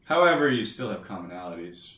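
Easygoing Canadian guy, very low voice, a little distant from the mic. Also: sing-song, fast, a bit bright, echoey room. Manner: easygoing, laughs readily, hedging with "I mean". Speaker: guest musician. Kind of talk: casual chat.

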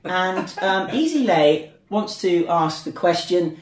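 Chatty host in an echoey room. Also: male voice, high voice, expressive, slow. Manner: rambling, many ums and restarts. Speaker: podcast host. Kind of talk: casual chat. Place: indoor studio.

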